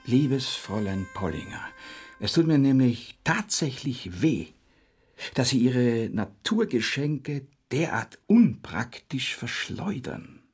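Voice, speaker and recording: Smug glib bohemian dentist type in a radio play, preachy fancy talk, music behind. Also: male voice, mid-range voice, big pitch swings, slow pace, breathy edge, full character voice. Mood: persuasive, oily. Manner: pompous, affectedly educated, lecturing. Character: glib, self-important bohemian dental technician, self-declared selfless schemer.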